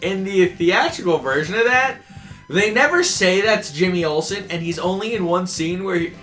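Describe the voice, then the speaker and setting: A young man rambles through a profane, mocking rant while playing an old arcade game with a friend. His voice sits high and sounds bright and forward. Faint game music plays behind him. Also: animated, normal speed. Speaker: let's player. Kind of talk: casual gameplay commentary.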